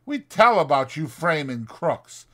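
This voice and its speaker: Man doing a folksy old ranch hand, higher pitched. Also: sing-song, unhurried, fairly bright tone. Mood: quiet protest. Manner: folksy rural American dialect. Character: old ranch hand, anxious, kindly, eager. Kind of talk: dramatized audiobook.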